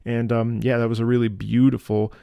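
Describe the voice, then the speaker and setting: A man giving casual, analytical reaction commentary, thoughtful and often halting as he searches for words. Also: mid-range voice, level tone, creaky, warm tone, clear, resonant tone, dry recording. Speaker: music reaction host, opera singer.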